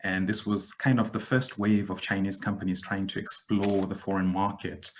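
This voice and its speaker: A man in his thirties from Zimbabwe, a podcast guest giving a measured, reflective account with frequent pauses. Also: low voice, little pitch movement, a little hiss or hum. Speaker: guest, digital transformation expert. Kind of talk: podcast interview answer.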